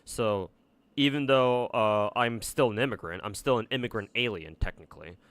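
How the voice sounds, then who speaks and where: A young man with an American accent talks through a gaming livestream in a loose, rambling way. He restarts his sentences and swears casually. His voice sits slightly low and comes across clean and smooth. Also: moderate pace, a bit bright. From United States. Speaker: streamer.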